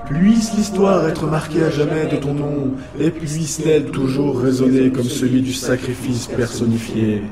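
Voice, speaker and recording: A man's voice: mid-range voice, fairly even intonation, unhurried, few pauses, roomy sound, over loud music, natural voice. A man playing a solemn, pompous religious zealot, grandly eulogizing in a comic audio drama.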